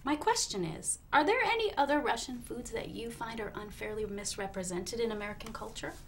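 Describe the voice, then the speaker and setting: Deadpan woman talk show host. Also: on the high side, a little fast, creaky, slight background noise. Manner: deadpan, mock-serious, polite.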